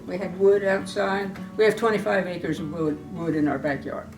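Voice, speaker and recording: A woman in her eighties giving an informal, halting, plainspoken guided tour of the small museum and shop she keeps. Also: lowish voice, steady tone, over music, poor audio. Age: 80s.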